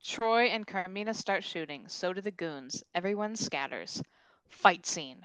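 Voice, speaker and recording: A woman's voice: on the low side, animated, unhurried, fairly bright tone, clean tone, no room sound, low-quality recording. A woman reading scripted stage directions as narrator at a comedic table read.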